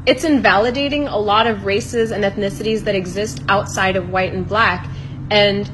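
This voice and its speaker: A woman's voice: mid-range voice, fairly even intonation, moderate pace, noisy background. A young woman from South India answering a comment in a direct-to-camera video, firm and explanatory. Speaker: content creator. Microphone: phone camera, close.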